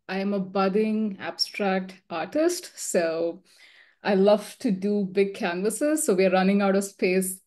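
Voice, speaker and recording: A woman's voice: mid-range voice, darkish tone. A woman panelist introducing herself in a friendly, easygoing way. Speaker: panelist, engineering manager. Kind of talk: casual introduction.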